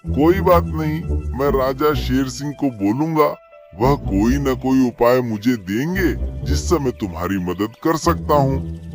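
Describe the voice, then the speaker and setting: A man playing a sly, greedy fox minister in a cartoon story, obliging and calculating in manner. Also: medium pitch. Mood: concerned, helpful. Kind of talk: cartoon story character acting.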